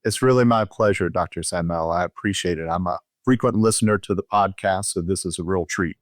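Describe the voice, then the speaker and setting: A man with a low-pitched voice speaks as a physician being interviewed. He explains clinical points in a conversational way, recorded dry with very little room sound. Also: fairly quick, no echo. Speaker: physician, podcast guest.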